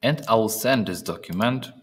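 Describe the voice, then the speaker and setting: A man narrating a step-by-step software training demonstration, speaking haltingly with pauses in mid-phrase. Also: on the low side, slightly rough. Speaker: software trainer presenting a tutorial.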